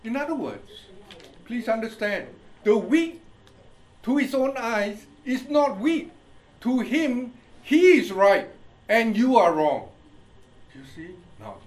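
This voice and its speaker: A male pastor with an Indian accent, preaching with a high, very animated voice that swoops up and down. He reads verses slowly and keeps asking the congregation whether they follow him. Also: low-quality recording. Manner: slow scripture reading, constant "you see?" check-ins, chuckles. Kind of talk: sermon, Bible teaching.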